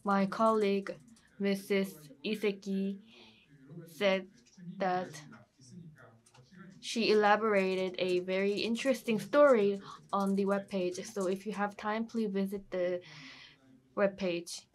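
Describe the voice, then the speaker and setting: A woman's voice: medium pitch, fairly even intonation, takes it slowly, pauses often. A woman panelist giving closing remarks in simple English with small grammar slips, recorded on a conference stage. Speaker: ambassador panelist.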